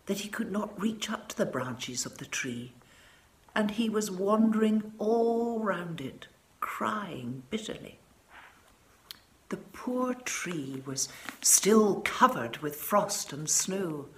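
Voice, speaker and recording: A woman reads a children's story expressively, with dramatic phrasing that drops into hushed tones. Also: medium pitch. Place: furnished living room, indoors.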